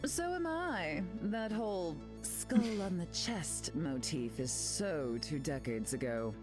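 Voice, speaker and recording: A woman's voice: on the low side, expressive, slow. A woman playing a glamorous telepathic queen in a video game, poised, theatrical and condescending. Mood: disdainful. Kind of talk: video game hero voice lines. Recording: game dialogue over loud music.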